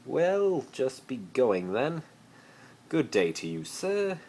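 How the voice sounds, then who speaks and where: A theatrical, spoiled teenage wizard from a fan story, acted out by a man. He is pompous and grandly over-dramatic, pitched well above the reader's deep natural voice. The phone recording carries some faint noise. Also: sing-song, slow, darkish tone. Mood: smoothly polite. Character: haughty, theatrical teenage rich boy wizard, posh and gallant. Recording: noisy amateur phone recording.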